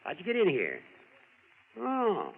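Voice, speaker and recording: A suspicious, gruff night watchman, high in pitch with big swoops, folksy and challenging. It is vintage radio drama, echoing in a long reverb. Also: huge pitch swings, slow, exaggerated voice. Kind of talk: 1940s radio drama acting. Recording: narrow-band old radio, long echo, faint hiss.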